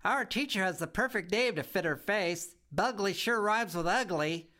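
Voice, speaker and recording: A rude young girl bug from a storybook blares her taunts in a high, squeaky voice. She is loud and giggly, and she mocks everyone around her, voiced by a man reading the story. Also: moderate pace. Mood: mocking.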